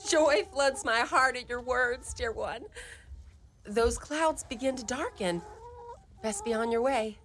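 A woman's voice: fairly high pitch, slow, a bit bright. A woman playing a poor, guilt-tripping old mother in a radio play, with melodramatic, old-fashioned speech. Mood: pleading, sly. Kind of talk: live radio play acting. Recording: live studio recording, clearly noisy ambience.

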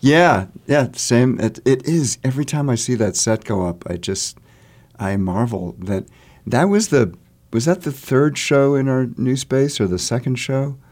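A man from the theater chatting casually as an interview guest, halting as he thinks aloud. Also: medium pitch, lively intonation, darkish tone.

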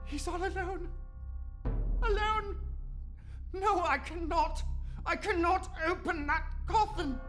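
A frightened old miser begging a silent spirit for answers, as voiced by a man. His voice is strained and very high, the words drawn out slowly over music. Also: pushed and bright, exaggerated voice. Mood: remorseful. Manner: pleading, anguished. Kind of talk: radio play acting.

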